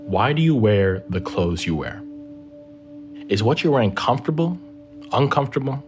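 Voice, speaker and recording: A man hosting a podcast, giving a measured, reflective scripted narration full of rhetorical questions. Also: medium pitch, animated. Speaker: podcast host. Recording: music bed behind the voice.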